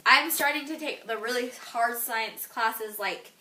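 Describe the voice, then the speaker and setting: A woman's voice: on the high side, measured pace, sharp tone. A teenage girl answering interview questions casually, with lots of fillers, trailing off at the ends of her thoughts. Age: teens. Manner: chatty, filler-heavy, trailing off.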